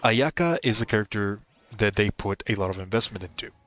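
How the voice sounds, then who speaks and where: A man's voice: lowish voice, a little fast, a bit bright, dry recording. A man streaming a video game, talking casually and explaining strategy, often rephrasing his points. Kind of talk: casual game commentary and advice.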